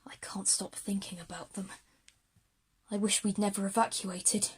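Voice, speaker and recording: A woman's voice: medium pitch, level tone, moderate pace, husky. A woman playing an anxious, guilt-ridden schoolchild, subdued and hesitant, in an audio drama. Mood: guilty, downcast. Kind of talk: audio drama acting for young audiences.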